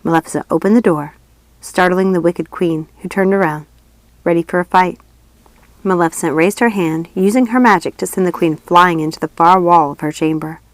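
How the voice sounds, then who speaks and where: A woman's voice: lowish voice, normal speed, no echo, rough recording. A woman reading a fairy tale aloud as scripted storybook narration. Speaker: audiobook reader.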